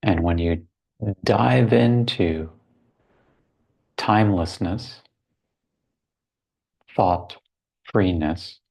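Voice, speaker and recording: A man leading a guided meditation as a teacher, speaking contemplatively in short phrases with long pauses between them. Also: low-pitched, creaky, darkish tone, low-quality recording.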